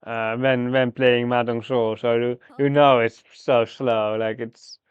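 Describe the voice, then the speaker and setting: Man, game streamer, thinking aloud, slow pace, halting delivery, slightly muffled dry recording. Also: medium pitch, little pitch movement, smooth, dry recording. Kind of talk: live mahjong gameplay commentary, thinking aloud.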